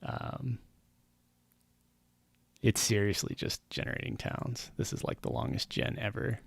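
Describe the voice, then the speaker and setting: A man with a gravelly, fry-edged voice talks casually and rambles over a game stream, wry and self-mocking. His voice is close and dry, with no room around it. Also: on the low side, big pitch swings, unhurried, dry recording.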